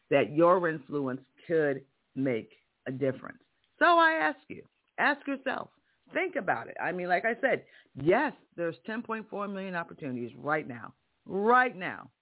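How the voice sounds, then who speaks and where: High-voiced guy with a strong voice, really lively and emphatic, folksy motivational talk, tinny phone sound. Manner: emphatic, folksy, rhetorical questions. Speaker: career podcast host. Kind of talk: motivational monologue.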